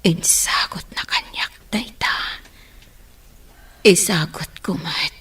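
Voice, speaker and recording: A dying mother gasps out her words very slowly in a lowish, extremely breathy voice. She is weak and tender, half whispering, in radio drama style. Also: bright, forceful tone, full character voice. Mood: fading, tender. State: gasping, failing breath. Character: weak, dying young mother entrusting her little son.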